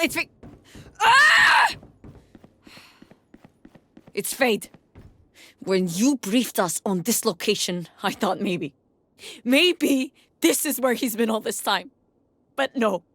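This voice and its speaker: Slow, brooding, haunted woman. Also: on the high side, a bit bright, heavily acted. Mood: urgent. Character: driven, haunted female agent Fade, searching for someone lost. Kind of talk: voicemail, video game acting.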